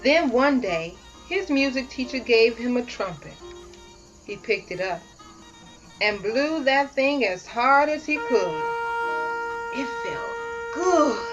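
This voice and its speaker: A woman reading a children's story aloud as host, expressive and dramatic, drawing out key words for emphasis. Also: high voice, muffled recording. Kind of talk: read-aloud children's picture book narration.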